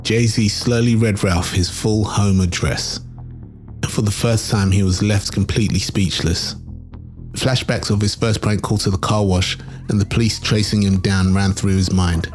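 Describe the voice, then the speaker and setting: A man reading scripted documentary narration in a measured, dramatic storytelling manner. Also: lowish voice, fairly even intonation, darkish tone, soft background music.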